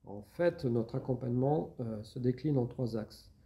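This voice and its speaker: A man's voice: medium pitch, measured pace, warm tone. A man giving structured, step-by-step explanations as a public service agent in an interview.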